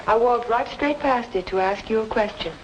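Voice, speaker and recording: An actress playing a determined, sharp-witted woman in a noir radio mystery, with crisp, insistent delivery. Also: a little high, moderate pace, highs cut off, slight background noise, natural voice. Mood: insistent, knowing. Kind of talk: old-time radio noir acting and narration. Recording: old radio drama with music behind.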